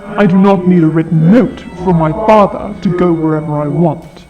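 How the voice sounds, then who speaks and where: A man's voice: sits high, moderate pace, muffled recording, natural voice. A man voicing a haughty, sardonic dragon in an audio roleplay, with a taunting, theatrical delivery. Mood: indignant, haughty. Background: fantasy game-style music.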